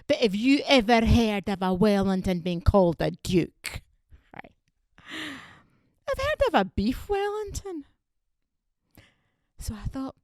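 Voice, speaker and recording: A woman's voice: mid-range voice, no echo. A woman voicing a nosy, blunt Glaswegian woman with a broad Scots accent who gossips in an exaggerated stand-up character bit. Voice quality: broad dialect, sharp exaggerated delivery.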